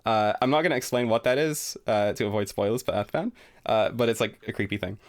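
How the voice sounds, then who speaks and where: A young adult man streaming a relaxed, rambling reaction commentary, full of fillers and self-deprecating asides. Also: fairly low pitch, fast.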